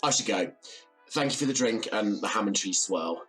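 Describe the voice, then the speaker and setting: A man's voice: fairly low pitch, animated, moderate pace, a bit bright, soft background music, poor audio. A man playing a reserved, downbeat young British man, weary and bitter, in an audio drama. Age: young adult.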